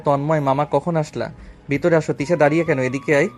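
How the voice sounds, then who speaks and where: Man acting young tycoon, wounded and accusing, higher-pitched voice, dramatic romantic audio story, faint music underneath. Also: fairly even intonation, quick pace, natural voice. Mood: subdued, weary. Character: bitter young self-made businessman, wounded ex-lover.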